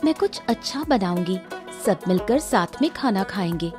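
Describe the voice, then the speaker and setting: Woman acting expressive, caring village wife. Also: mid-range voice, normal speed, music in the background, lightly acted. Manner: affectionate, gently nagging. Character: caring village wife, mildly chiding homemaker. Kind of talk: Hindi cartoon moral story acting.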